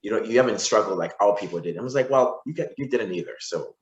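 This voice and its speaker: Man from zimbabwe, very low voice, rambling restarts, roomy echo. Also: expressive, fast talker, lo-fi sound, a little hiss or hum. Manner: rambling with frequent restarts and fillers. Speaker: podcast guest. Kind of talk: casual interview conversation.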